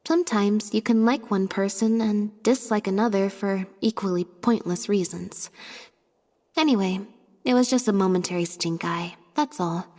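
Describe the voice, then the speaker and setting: A woman playing an aloof young stage assistant in a video game, understated and deadpan in delivery. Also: mid-range voice, moderate pace, in a large hall, lightly acted. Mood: deadpan, dismissive. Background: music.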